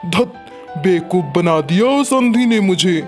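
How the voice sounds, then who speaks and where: A woman's voice: fairly low pitch, animated, unhurried, a little mellow, soft background music. A woman voicing a scheming, greedy male kite bird that feigns kindness in a children's cartoon. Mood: thwarted, annoyed. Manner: sly, muttering plans to itself. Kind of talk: Hindi cartoon character acting.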